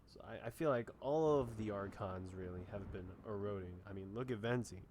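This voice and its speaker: A man's voice: lowish voice, a little fast, darkish tone, slight background noise. A man giving casual live commentary on a video game, with informal, sweary reactions.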